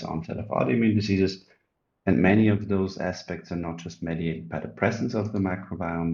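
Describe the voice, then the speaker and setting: A man's voice: low-pitched, gravelly, a little mellow, lo-fi sound. A man in his thirties from Austria with an Austrian German accent, earnestly explaining his immunology research with many ums and uhs. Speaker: immunology researcher, group leader. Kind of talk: self-introduction explaining research.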